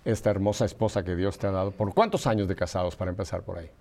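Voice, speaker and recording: A man from Mexico hosting a religious talk show, interviewing guests in an expansive, animated way with playful asides. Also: low-pitched, very fast. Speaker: host of a faith talk show.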